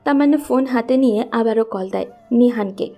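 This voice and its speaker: A woman's voice: a little high, normal speed, dark tone. A woman narrating a scripted romantic story in a storyteller's manner. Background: faint background music.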